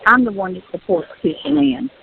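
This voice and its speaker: A woman's voice: a little high, lively intonation, a little fast, gravelly. An older woman with a Southern US accent, venting on a personal phone call and insistently repeating phrases for emphasis. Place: recorded prison phone call. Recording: tinny phone line with hiss.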